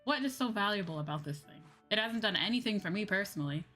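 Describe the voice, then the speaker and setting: A woman's voice: mid-range voice, expressive, fast talker, a bit bright, faint music behind. A woman livestreamer chatting casually while gaming, blunt and swearing freely, repeating her points for emphasis. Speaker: livestream host, VTuber.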